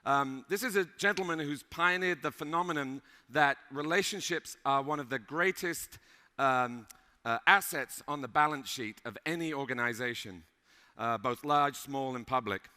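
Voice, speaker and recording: Man with a bright voice introducing a speaker. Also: medium pitch. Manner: halting, with many fillers. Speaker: event emcee introducing the speaker. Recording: live mic in a large hall with long reverb.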